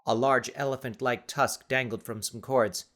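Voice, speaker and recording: A man's voice: medium pitch, steady tone, moderate pace, a bit bright. A man reading scripted, dramatic story narration as an audiobook narrator.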